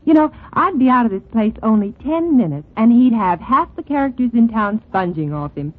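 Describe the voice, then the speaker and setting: A woman's voice: a little high, expressive, moderate pace. An actress playing a world-weary, sardonic young Broadway woman, dry and cynical, in 1940s radio style. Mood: weary, resigned. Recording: old radio recording, phone-like band.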